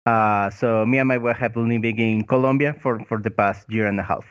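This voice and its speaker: Smooth-voiced Venezuelan man, dull lo-fi sound. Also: on the low side, steady tone, fairly quick. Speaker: guest. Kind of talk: interview answers.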